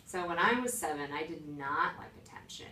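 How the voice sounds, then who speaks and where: A woman's voice: low-pitched, expressive, fairly quick, pushed and bright. A woman who acts and dances answers interview questions casually, reflective and plain-spoken as she explains to a child. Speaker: interviewee, stage actress and dancer. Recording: echoey room, distant mic, background noise.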